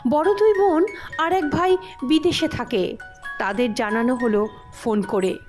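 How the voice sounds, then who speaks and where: A woman reading a scripted story aloud in an expressive, dramatic storytelling manner. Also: high voice, a little slow, soft background music. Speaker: audio story narrator. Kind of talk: expressive scripted story narration with acted dialogue.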